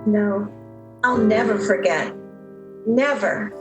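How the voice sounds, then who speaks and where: Woman as young 1930s operator, heartbroken, wistful, slow delivery, piano music behind. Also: medium pitch, lightly acted. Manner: wistful, downcast. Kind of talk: 1930s radio play acting.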